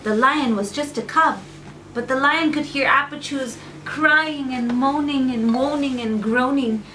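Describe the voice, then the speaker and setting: A woman's voice: high-pitched, a little slow, sharp tone, far from the mic, a little hiss or hum, rough recording. A woman narrating a bedtime story for small children, repeating phrases for effect.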